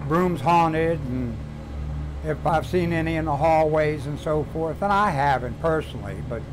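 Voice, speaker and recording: Older man, lapel mic, basement hallway, folksy halting talk, slightly high voice. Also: background noise. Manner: halting, folksy storytelling with restarts. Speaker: maintenance worker. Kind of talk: casual interview.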